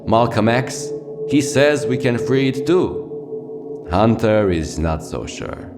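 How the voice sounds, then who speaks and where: A man's voice: lowish voice, sing-song, slow, heavily acted. A man playing a world-weary, theatrical radio host delivering a poetic, profane scripted monologue for a fictional wretched city. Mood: wry, reverent. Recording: music behind the voice. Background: loud music.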